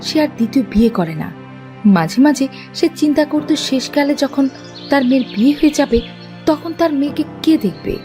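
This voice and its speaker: A woman's voice: high voice, big pitch swings, brisk, warm tone, soft background music. A woman reading scripted fairy-tale narration as the storyteller of a cartoon.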